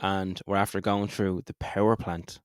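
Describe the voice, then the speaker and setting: A man's voice: sits low, level tone, normal speed, smooth, no echo, clean studio sound. A man with an Irish accent leading a casual role-play game on a podcast, sweary and enthusiastic. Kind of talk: casual tabletop-style game narration and banter.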